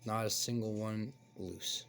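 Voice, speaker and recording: A man with a hoarse voice from a cold, hosting a casual toy review and chatting as he unboxes. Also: lowish voice, measured pace, a little hiss or hum. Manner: relaxed, chatty, explains as he handles the toy. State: hoarse, getting over a cold. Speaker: toy reviewer, channel host.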